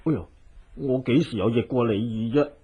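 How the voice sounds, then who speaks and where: An actor playing a doting, simple fat husband and cook in a Hong Kong Cantonese radio drama, with a fawning drawl. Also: male voice, mid-range voice, fairly quick, mellow, husky. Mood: doting, jolly.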